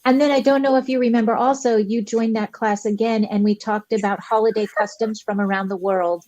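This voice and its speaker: A woman's voice: on the high side, steady tone, a little fast, a little mellow, no echo. A woman in her sixties, a veteran teacher, chatting earnestly and graciously as a guest on a video call talk show. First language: English. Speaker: guest educator. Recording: slightly dull dry video call audio.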